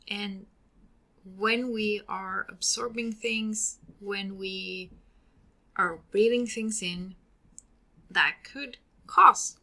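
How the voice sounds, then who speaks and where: A woman from Sweden with a Swedish accent, hosting a solo podcast and giving earnest advice, often trailing off into breathy ends. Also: mid-range voice, bright, forceful tone. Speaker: podcast host.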